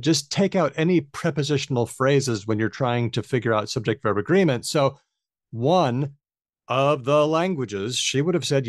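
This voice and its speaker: A man's voice: mid-range voice, no echo, studio quality. A man co-hosting a live show on a video call, reading poll results and asking the guest questions in a chatty way. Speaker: podcast co-host.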